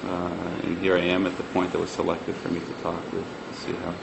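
A man with a very deep, creaky voice walks through a product demonstration. His delivery is conversational and a little hesitant. There is a clear ambient hum behind him in an indoor space. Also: monotone, fairly quick.

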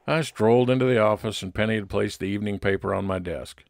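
A man's voice: fairly low pitch, quick pace, creaky, no echo, studio quality. A man narrating a scripted first-person private investigator story in old-time radio detective style.

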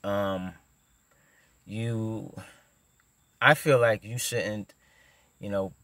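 A man's voice: on the low side, fairly even intonation, drawn-out words, a bit bright, dry recording. A man in his thirties who stutters, giving casual advice into a phone camera from his parked car, with repeated words and many fillers. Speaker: vlogger. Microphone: phone camera at arm's length.

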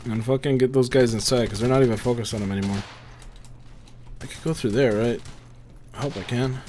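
A man's voice: mid-range voice, steady tone, fairly quick, darkish tone, a little hiss or hum. A young man giving casual gameplay commentary, muttering to himself and swearing freely. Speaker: gamer recording a playthrough.

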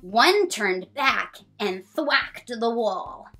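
A woman in her thirties reading a children's picture book aloud, expressive and sing-song, with drawn-out lines and counting along. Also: on the high side, faint music behind, low-quality recording.

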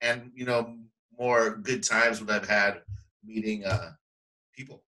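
Enthusiastic bright-voiced male host. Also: mid-range voice, level tone, measured pace, poor audio. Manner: enthusiastic, emphatic, repeats words for stress. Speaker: podcast host, real estate coach. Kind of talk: casual interview chat. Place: small indoor room. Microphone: webcam video call.

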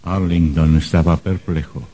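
A man's voice: low voice, steady tone, a little slow, warm tone. A man narrating a radio play in a dramatic storytelling style.

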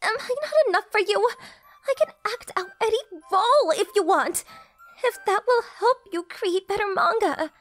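A woman's voice: extremely high pitch, lively intonation, moderate pace, fairly bright tone, faint music behind. A woman voicing a clingy, jealous, lovestruck teenage girl in an anime-style romantic comedy dub, with emotional, dramatic delivery. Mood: jealous, frantic.

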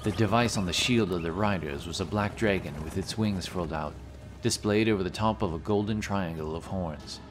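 A man narrating a scripted fantasy audiobook in a measured, dramatic way. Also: low voice, noisy background.

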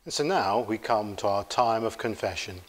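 A middle-aged man leading worship as a priest, praying in a solemn, formal and measured way. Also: on the low side. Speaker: priest leading a church service. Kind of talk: solemn liturgical prayer. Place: inside a church, at the altar. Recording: reverberant church interior.

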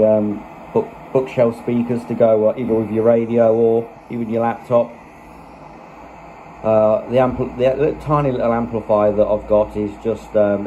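Male channel host, casual reviewer, lowish voice with little inflection, conversational and unscripted, phone mic. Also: mellow, lo-fi sound, a little hiss or hum. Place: small room indoors. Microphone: phone microphone, held behind the camera.

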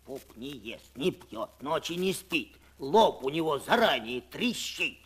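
A man narrating a verse fairy tale for a children's record, in an expressive reading. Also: on the high side, a little slow, breathy edge.

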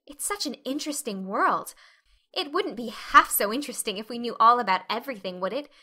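Woman as a bright, chattering, dreamy young girl. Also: fairly high pitch, expressive, a little fast, exaggerated voice. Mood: eager, happy. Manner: chatty, effusive, earnest. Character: talkative, dreamy, dramatic eleven-year-old orphan girl. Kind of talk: dramatic audiobook reading.

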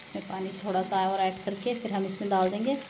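A woman's voice: medium pitch, fairly even intonation, a little fast, noisy background. A woman narrating a home cooking tutorial in a friendly, chatty way, often greeting viewers and adding religious blessings as she cooks. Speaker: cooking vlogger. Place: home kitchen, beside a frying stove.

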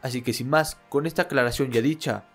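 A young man hosting his channel, reading a scripted sports narration in a steady, informative way into a studio microphone in a small room at home. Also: mid-range voice, a little fast, faint music behind. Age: young adult. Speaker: channel host. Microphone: studio microphone on stand.

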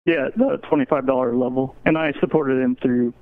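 A man's voice: mid-range voice, fairly even intonation, brisk. A man calling in over a video call, telling his story plainly and matter-of-factly. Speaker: call-in guest. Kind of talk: casual chat. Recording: tinny phone-like call audio.

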